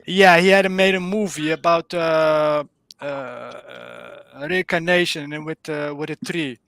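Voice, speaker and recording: Dutch-accented male caller, fairly high and bright, dry close sound, earnest and groping for words. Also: measured pace, gravelly, no room sound. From Netherlands. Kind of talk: casual explanation on a live stream.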